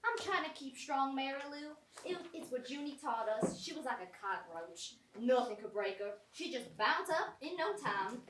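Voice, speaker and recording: A woman playing a kindly, prim Southern country woman in mourning, folksy and gently scolding, in a live amateur stage comedy. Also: high-pitched, big pitch swings, normal speed, few pauses, background noise. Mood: steadfast. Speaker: actor in a staged reading of a short play. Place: small college theatre stage. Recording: roomy theatre, mic at a distance.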